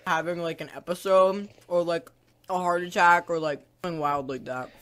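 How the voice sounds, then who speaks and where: A woman's voice: on the low side, fairly even intonation, moderate pace, fairly bright tone. A woman giving a casual, opinionated rant in a blunt, slangy, sweary way.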